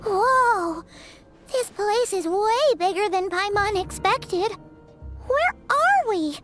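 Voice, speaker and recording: A very high, sing-song little fairy girl, bouncing all over her pitch as she chatters excitedly about herself in the third person. She draws out her words, and soft game music plays behind her. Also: slow pace, exaggerated voice. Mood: eager. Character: tiny chirpy female fairy companion, cheeky. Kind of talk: video game voice acting.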